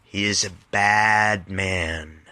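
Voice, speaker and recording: A man of thirty presents his own writing on a podcast. His voice is fairly low and bright, and he takes his time with a very slow, deliberate delivery. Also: steady tone, clean tone, dry recording. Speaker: writer reading and explaining own work on podcast. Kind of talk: literary reading mixed with casual explanation.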